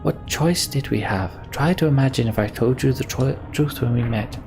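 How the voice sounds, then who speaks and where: A man's voice: medium pitch, moderate pace, gravelly, darkish tone, pitched above the performer's own voice, natural voice. A bitter, weary mage from a fantasy video game, read in character by a man. The voice is raised and reproachful, pleading to be understood. Game music sits behind it.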